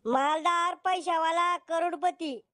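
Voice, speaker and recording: Man voicing a shrill, brazen young cartoon woman. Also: fairly even intonation, slow pace, bright, forceful tone, no room sound, studio quality, exaggerated voice. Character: brazen, sharp-tongued young bride-to-be, female student. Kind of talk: cartoon comedy dialogue.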